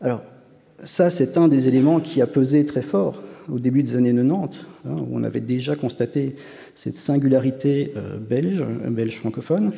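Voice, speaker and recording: A man's voice: mid-range voice, moderate pace, vocal fry, mellow. Hesitant man lecturing in a hall. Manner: hesitant, many "euh" fillers and restarts. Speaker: university professor giving a conference talk. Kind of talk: academic lecture with slides. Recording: long reverb of a lecture hall.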